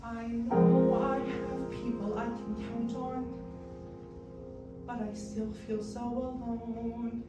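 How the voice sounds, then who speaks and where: A woman playing a grieving, withdrawn young male college student in a musical reading, speaking in a halting, sorrowful way. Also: fairly high pitch, flat delivery. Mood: anguished grief. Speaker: actor in a staged reading. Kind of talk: musical theatre monologue. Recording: echoey room, distant mic, piano behind.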